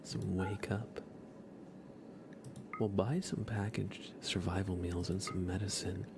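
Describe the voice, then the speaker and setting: A man with a low, creaky voice talks slowly and softly as he hosts a cozy gaming stream. He often slips into a whisper, in an unhurried ASMR style, with noise behind him. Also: flat delivery. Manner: soft-spoken, often dropping into a whisper. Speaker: livestream host.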